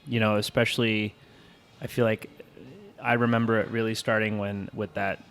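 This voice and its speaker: A man's voice: fairly low pitch, flat delivery, normal speed, a bit bright. A man co-hosting a podcast, chatting casually and enthusiastically with a joking streak.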